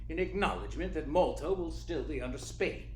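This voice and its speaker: A genial, grandiloquent crime boss played by a man in a radio drama. His fairly high voice moves up and down with relish, courtly and florid. It is recorded in an echoey room with faint noise. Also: lively intonation, brisk, a little mellow, natural voice. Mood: grandiose, delighted. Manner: florid, courtly, chuckling, savouring his words. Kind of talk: radio play acting.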